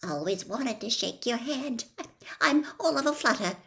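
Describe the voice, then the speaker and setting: A woman's voice: fairly high pitch, animated, a little slow, gravelly, a bit bright, exaggerated voice. A woman voicing a starstruck middle-aged woman who gushes breathlessly. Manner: breathless, gushing.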